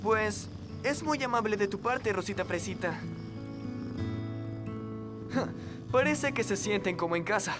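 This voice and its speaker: A friendly, easygoing cartoon boy, played by a woman. Loud music plays behind the speech. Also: medium pitch, expressive, measured pace, long pauses, close to an everyday voice. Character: easygoing, friendly young boy who looks after animals. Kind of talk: children's cartoon dub.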